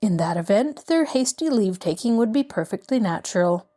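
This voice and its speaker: A woman voicing a courteous middle-aged male lawyer who speaks in a measured, reassuring way. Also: mid-range voice, expressive, mellow, natural voice. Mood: calm.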